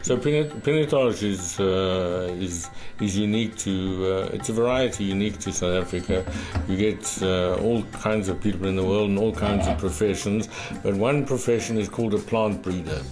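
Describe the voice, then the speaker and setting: A low-voiced man in his fifties talks about wine in a halting, restarting way, full of fillers. He sits at a tasting table in a cellar room. Soft music plays behind him. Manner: hesitant, many fillers and restarts. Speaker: visiting winemaker. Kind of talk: halting casual explanation.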